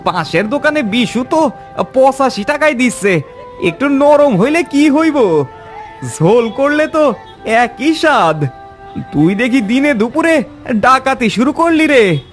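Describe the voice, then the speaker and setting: A man plays a haggling villager in a cartoon story. His very high voice swoops about as he complains in incredulous grumbles. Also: very animated, unhurried, faint music behind, full character voice. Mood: grumbling.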